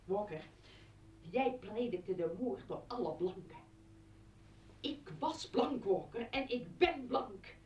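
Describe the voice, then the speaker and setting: An actress playing a hurt, scornful ex-wife in a radio play, sarcastic and accusing. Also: female voice, medium pitch, lively intonation, slow pace, pauses often, darkish tone, a little hiss or hum. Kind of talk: Dutch radio play acting. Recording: dull, distant old radio recording.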